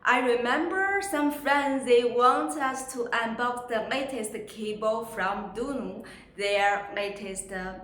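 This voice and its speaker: A woman hosting a casual product unboxing, speaking in simple, slightly halting non-native English phrasing. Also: a little high, fairly bright tone. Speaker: host of a product unboxing channel. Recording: echoey room with faint background noise.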